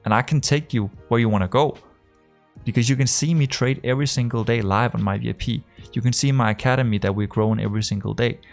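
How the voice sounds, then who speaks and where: A man's voice: medium pitch, fast talker, vocal fry, faint music behind. A man from Denmark with a strong Danish accent, talking casually to camera as a trading coach, rambling with many fillers and restarts. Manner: rambling, full of fillers and restarts, acts out conversations.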